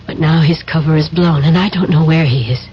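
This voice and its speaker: A woman's voice: low-pitched, brisk, natural voice. An actress playing a cool female double agent in a radio drama, controlled and persuasive, on a dull, noisy old broadcast. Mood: guarded. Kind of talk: 1970s radio drama acting.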